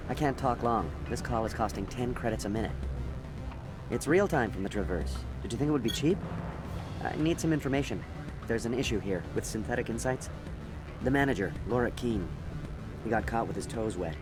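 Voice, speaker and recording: An actor playing an alien merchant in a game, speaking in a chatty, conspiratorial and precise manner. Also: male voice, mid-range voice, expressive, fairly quick, over music, close to an everyday voice. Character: fast-thinking alien merchant making a private call.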